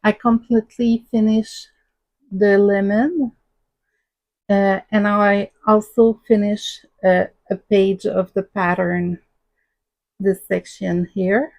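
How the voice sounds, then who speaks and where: A woman with a Québécois French accent, hosting a casual crafting vlog and talking in a halting way with many ums. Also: mid-range voice, steady tone. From Quebec, Canada. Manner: halting, many ums and uhs, soft-spoken. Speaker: crafting channel host.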